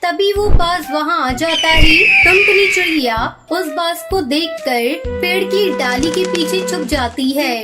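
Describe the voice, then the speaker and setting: A woman's voice: very high-pitched, a little slow, few pauses, over loud music. A woman narrator reading a scripted moral story for children.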